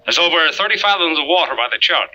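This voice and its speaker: A man's voice: on the high side, expressive, quick pace, sharp tone, full character voice. A man acting a firm, authoritative American navy captain in an old-time radio drama. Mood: firm, regretful. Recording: faintly noisy old radio recording.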